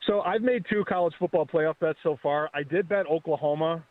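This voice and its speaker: A man in his thirties calling in as a betting guest, talking fast and casually, often restarting his words. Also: a little high. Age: 30s. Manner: quick, restarts words, chatty. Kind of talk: casual sports betting chat. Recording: phone line.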